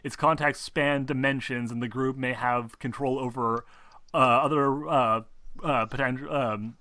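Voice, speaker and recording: A man with a bright, forward voice runs a tabletop role-playing game. He talks casually through the rules, often halting and stumbling as he reads, with casual swearing. The sound is close and dry, with almost no room to it. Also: mid-range voice, fairly even intonation, no echo. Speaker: game master.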